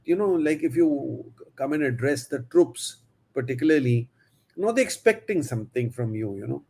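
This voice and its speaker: A man's voice: mid-range voice, lively intonation, moderate pace, vocal fry, a little mellow, husky. Man hosting an interview, telling anecdotes. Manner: anecdotal, with frequent fillers. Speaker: host.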